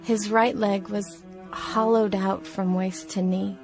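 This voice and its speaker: A woman playing a young woman of 1916 in a radio drama, speaking her diary as a heartfelt monologue. Her voice sits at an ordinary female pitch, with music playing underneath. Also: little pitch movement, slow pace. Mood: grieving, shaken. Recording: music behind the voice.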